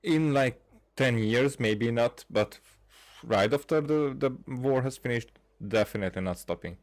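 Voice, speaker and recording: A man's voice: medium pitch, a little slow. A man giving casual, rambling gameplay commentary as a streamer, with a hesitant delivery. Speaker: streamer playing a game.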